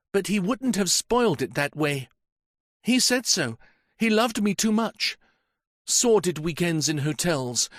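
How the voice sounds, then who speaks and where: A man's voice: on the high side, a bit bright, dry recording, clean studio sound, voice pushed up. A man voicing a distraught young woman. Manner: impassioned, tearful outbursts.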